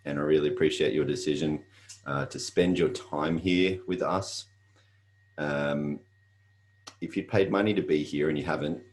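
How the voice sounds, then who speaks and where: A man's voice: a bass voice, a little mellow. A middle-aged Australian man hosting an online presentation, talking in an earnest, repetitive way with frequent fillers. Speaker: webinar host. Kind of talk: motivational presentation.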